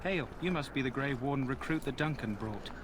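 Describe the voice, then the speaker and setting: A man playing a friendly, gossipy soldier in a fantasy video game, with a folksy, chatty delivery. His voice sits at a middle pitch. Clear background noise runs under his lines in the game audio. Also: a little fast, natural voice. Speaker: soldier in a war camp.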